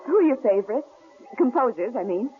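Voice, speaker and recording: An actress playing a nervous young wife in an old radio drama, talking in anxious, hesitant bursts. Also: female voice, fairly high pitch, animated, brisk, soft background music, natural voice. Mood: wary. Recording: tinny old radio broadcast, faint hiss.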